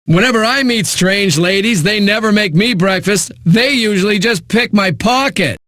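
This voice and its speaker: An actor playing a breezy, smug radio DJ in a video game, delivering hyped, sardonic patter between eighties songs. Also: male voice, high voice, moderate pace, pushed and bright. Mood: wry, breezy.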